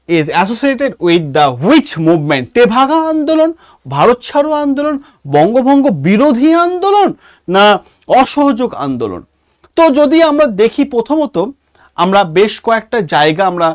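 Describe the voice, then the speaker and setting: Animated Indian Bengali teacher, close dry sound. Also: medium pitch, no echo. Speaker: exam-prep history teacher. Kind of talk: online lesson, explaining quiz questions.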